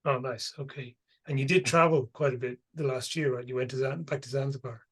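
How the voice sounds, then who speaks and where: Husky-voiced male podcast host. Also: mid-range voice, fairly quick. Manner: relaxed, swears casually, uses colloquial phrasing. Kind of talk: casual interview chat.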